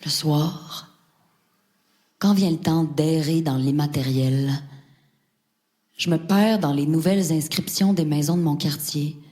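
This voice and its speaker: A woman's voice: low voice, unhurried, long pauses, dark tone. An actress playing a dreamy woman delivering a poetic theatrical monologue, lingering on her words. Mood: dreamy, musing. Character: dreamy woman confiding a fascination with houses and landscapes. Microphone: stage microphone. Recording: music under the voice. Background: music, seashore waves and gulls.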